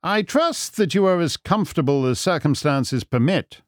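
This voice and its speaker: A male narrator plays a suave English detective in an audiobook story. His lines are raised in pitch and swoop with lively inflection. He sounds urbane, wry and sure of himself, in a dry recording. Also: no echo, close to an everyday voice. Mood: courteous. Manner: urbane, measured, wry, formal. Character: suave, self-assured English gentleman detective, wryly triumphant. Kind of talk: audiobook character acting.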